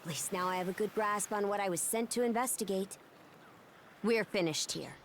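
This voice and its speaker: Brash young pirate woman with lively delivery, played by an actress. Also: mid-range voice, a little hiss or hum, close to an everyday voice. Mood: defiant, irritated. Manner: blunt, taunting, confident delivery. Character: brash young Norman pirate woman, sharp-tongued, proud, fierce fighter. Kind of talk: English video game voice acting.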